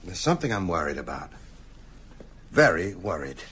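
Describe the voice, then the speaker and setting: An actor playing an older, learned schoolteacher in a radio drama, grave and anxious, speaking with earnest, deliberate phrasing. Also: male voice, deep voice, big pitch swings, a bit bright, slight background noise. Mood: grave, worried. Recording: music under the voice.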